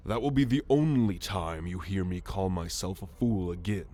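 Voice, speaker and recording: A man voicing a proud, gruff alien military renegade in an ASMR roleplay, haughty and sardonic in a measured delivery. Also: deep voice, mellow. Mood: exasperated, sardonic. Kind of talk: ASMR roleplay monologue to the listener.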